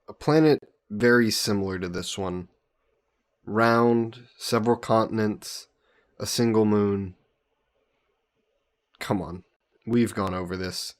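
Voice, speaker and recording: Slow-talking, low-voiced young hero played by a man. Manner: unhurried, chatty, playfully teasing. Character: easygoing young summoned hero from Earth, teasing and fond. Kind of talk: fantasy roleplay audio, addressing the listener.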